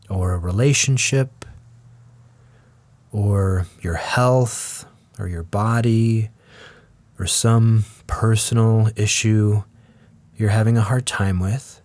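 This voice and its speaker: A man leads a guided meditation as the teacher, speaking gently and in a measured way with long pauses. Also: on the low side, no echo. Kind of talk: guided meditation, slow scripted instruction.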